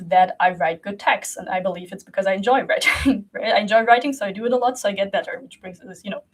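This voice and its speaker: A woman's voice: medium pitch, husky. A young woman from Germany whose first language is Russian, talking casually to camera as a creative coach, rambling with many fillers and restarts and laughing often.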